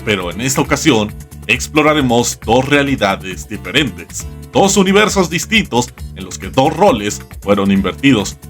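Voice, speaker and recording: Male video essay host, wry and theatrical, very lively intonation, faint music underneath. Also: mid-range voice, normal speed. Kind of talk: scripted comedic video essay narration. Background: background music.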